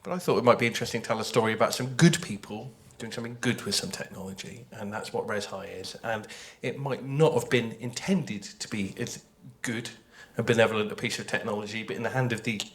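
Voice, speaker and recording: A man's voice: lowish voice, quick pace, hardly any pauses. Hesitant man presenting on a panel. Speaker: panelist, audio drama creator. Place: festival panel before an audience.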